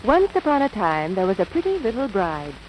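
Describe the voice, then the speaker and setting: A woman's voice: mid-range voice, big pitch swings, brisk, lightly acted. A woman playing a chatty storyteller in a 1940s radio advertisement, gushing like she is telling a bedtime story. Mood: gushing, confiding. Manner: gushing, theatrical storybook delivery. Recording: noisy old radio broadcast recording.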